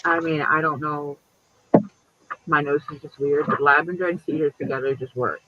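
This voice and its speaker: A man in his late fifties with a high voice chats loosely, swearing freely and leaping up in pitch. The sound is dull and lo-fi, like a home stream. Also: normal speed. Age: 50s. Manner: chatty and profane, lively pitch jumps. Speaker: host. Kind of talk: casual chat.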